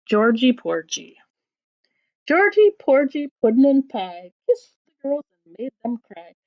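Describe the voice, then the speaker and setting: Slow, sing-song woman reading to children. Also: high-pitched, very animated. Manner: sing-song, deliberate storytelling delivery. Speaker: teacher reading aloud to children. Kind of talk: expressive children's read-aloud of rhymes. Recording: dull lo-fi sound.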